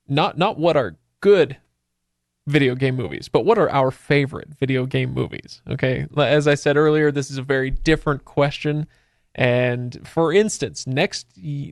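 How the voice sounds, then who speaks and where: A man's voice: mid-range voice, dry recording, studio quality. A man hosting a casual, jokey discussion podcast, giving his opinions with emphasis. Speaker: podcast host. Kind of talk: casual comedic chat.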